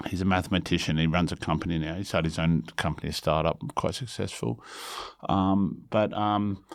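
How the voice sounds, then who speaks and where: A man's voice: low-pitched, steady tone, fairly quick, gravelly. An Australian man chatting casually as a comedian guest, rambling along and often breaking off to restart his sentences. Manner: hesitant, rambling, self-interrupting. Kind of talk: casual rambling comic chat.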